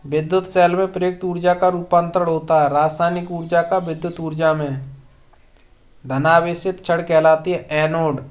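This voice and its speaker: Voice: medium pitch, fairly even intonation, moderate pace. Methodical exam coach reading questions and answers. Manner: methodical quiz delivery, each question followed by a short answer. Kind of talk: question-and-answer lesson read aloud.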